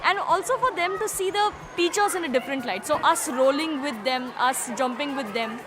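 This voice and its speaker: A woman's voice: sits high, expressive, normal speed. A woman who teaches, explaining her work in an interview. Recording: music and crowd noise behind.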